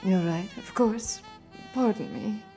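Female for noir radio play: rich young wife, coy and melodramatic, mellow voice, medium pitch. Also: sing-song, slow pace, vocal fry, full character voice. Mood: hurt, cool. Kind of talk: noir radio-drama acting. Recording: studio production with music underneath. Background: music.

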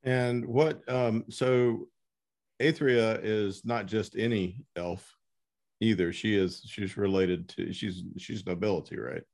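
A man hosting a casual interview, talking in a halting, informal way. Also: lowish voice, steady tone, a little mellow. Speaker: podcast host interviewing an author.

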